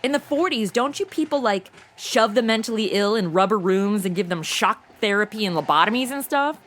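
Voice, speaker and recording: A woman's voice: medium pitch, lively intonation, brisk, a bit bright, natural voice. A woman playing a brash, sarcastic young sidekick who talks in a mouthy, informal way in a comedic superhero audio drama. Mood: indignant, eager.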